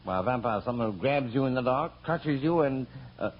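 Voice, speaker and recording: An actor playing a fussy, henpecked middle-aged husband with dry sarcasm in a 1940s radio comedy. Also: male voice, mid-range voice, lively intonation, brisk, close to an everyday voice. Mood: grumbling. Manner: flustered, dryly sarcastic, fussy. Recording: muffled, noisy old radio recording.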